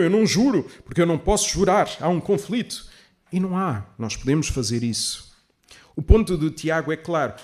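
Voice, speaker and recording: A male pastor. He explains step by step in a measured, teacherly manner, stressing key words and posing rhetorical questions to his listeners. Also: on the high side. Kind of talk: sermon, expository preaching to a congregation.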